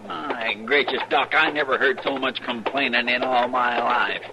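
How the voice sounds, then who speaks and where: An actor playing a garrulous, curious cowboy sidekick with an excitable rural Western American drawl in an old radio western. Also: male voice, mid-range voice, moderate pace, natural voice. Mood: indignant. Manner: folksy, excitable, hesitant. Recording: hissy old radio broadcast.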